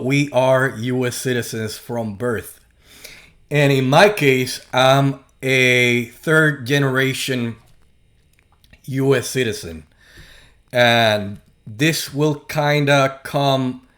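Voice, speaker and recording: A man with a Puerto Rican accent talking casually to camera, halting often with fillers and restarts and dropping in sarcastic asides. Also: mid-range voice, a bit bright. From Puerto Rico. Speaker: video host.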